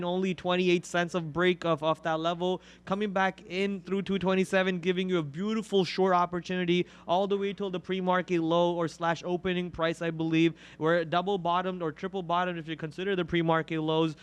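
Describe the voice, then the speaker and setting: A man's voice: sits high, clean tone. A man hosting a live stock trading show, chatting and joking casually while calling out chart levels.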